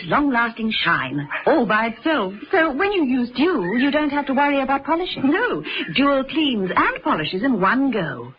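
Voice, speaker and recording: A woman performs a cheery scripted housewife commercial for old-time radio. Her voice is fairly high and animated, with faint noise on the recording. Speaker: advert actress. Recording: old slightly noisy radio advert.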